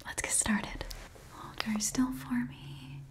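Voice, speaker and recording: A woman playing a calm, formal spaceship decontamination officer in a whispered, unhurried ASMR roleplay. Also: on the high side. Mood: calm, clinical.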